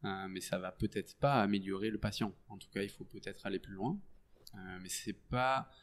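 A man in his thirties, a French doctor, explaining his work in a relaxed podcast chat full of hesitations. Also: on the low side, a little fast. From France. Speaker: guest doctor. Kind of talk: casual podcast interview.

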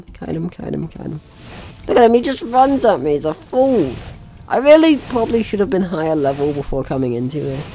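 Man commentating a game, very varied pitch. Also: darkish tone. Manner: chatty, jokey, talks to enemies and himself. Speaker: gaming channel host. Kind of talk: casual let's play commentary.

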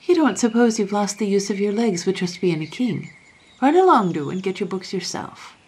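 Woman playing a brisk, bossy nursery nurse. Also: medium pitch, animated, darkish tone, a little hiss or hum, voice pushed up, lightly acted. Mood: brisk scolding. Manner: bossy, no-nonsense. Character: brisk, scolding, affectionate female royal nursery nurse.

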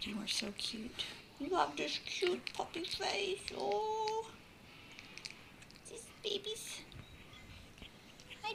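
A woman cooing in baby talk to puppies, drifting between sing-song calls and whispers, filmed indoors on a handheld phone held close, with a noisy recording. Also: very high voice. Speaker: dog breeder. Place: indoors at a puppy bed.

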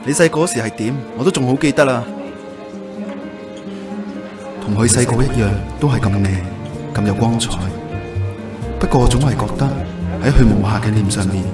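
A wistful, reflective young man played by a male actor narrates a radio drama in Hong Kong Cantonese. His mid-pitched voice carries echo, with music clearly underneath. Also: quick pace, distant mic, close to an everyday voice. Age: young adult. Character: wistful young man recalling a childhood crush. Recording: echoey, music bed underneath.

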